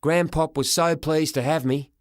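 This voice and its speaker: A man narrating a children's story in the first person as an expressive audiobook reader. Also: on the high side, normal speed, no room sound, clean studio sound.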